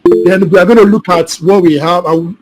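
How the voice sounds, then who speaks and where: A man leads a live online class over a video call, his voice sitting fairly high. He repeats himself and keeps checking that his students understand. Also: steady tone, fairly quick, darkish tone, low-quality recording. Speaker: solar installation trainer.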